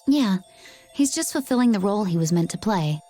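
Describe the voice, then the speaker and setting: Actress as a poised, mellow young warrior woman. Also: mid-range voice, animated, soft background music, natural voice. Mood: composed, serious. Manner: measured, composed. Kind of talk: English game dub voice acting.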